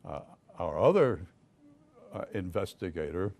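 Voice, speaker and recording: An older man with a deep voice and a Southern US accent, a private investigator telling folksy stories to an audience, chuckling as he goes. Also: sing-song, a little slow, vocal fry, a little mellow. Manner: folksy storyteller, chuckles often, many restarts. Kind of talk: casual talk to an audience.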